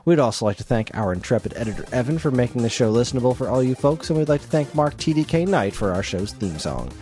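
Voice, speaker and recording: A man's voice: medium pitch, animated, fast talker, warm tone, soft background music. A middle-aged man co-hosting a podcast in casual conversation, with a wry, joking way of talking. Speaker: podcast co-host. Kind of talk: casual chat.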